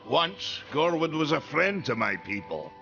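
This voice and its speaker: Slow, creaky-voiced solemn chieftain. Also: male voice, on the high side, full character voice. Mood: bitter, sighing. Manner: slow, grave storytelling. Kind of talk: video game cutscene acting. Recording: dull sound with music behind.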